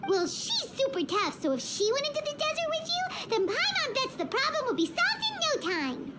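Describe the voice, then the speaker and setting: A woman voicing a tiny, chirpy, blunt fairy companion in a video game, with a squeaky childlike voice. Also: wildly swooping pitch, moderate pace, very noisy, exaggerated voice. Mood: eager. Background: background music.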